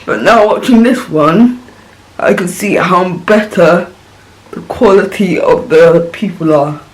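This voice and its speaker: A man's voice: high-pitched, slow pace, rough recording. A man vlogging a casual film reaction, rambling and searching for words as he gushes.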